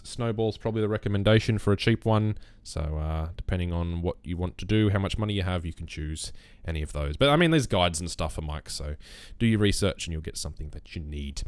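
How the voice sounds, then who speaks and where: A young man with a bassy voice explaining his recording setup in a relaxed, rambling way, close on a USB condenser mic on a boom arm. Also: clean tone, no room sound. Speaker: YouTube gaming creator. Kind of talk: casual screen-recorded tutorial.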